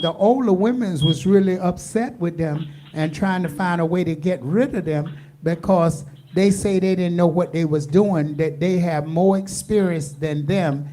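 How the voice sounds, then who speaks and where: A woman's voice: lowish voice, a little slow, warm tone, slight background noise. An American woman hosting a radio talk show, talking emphatically and asking rhetorical questions.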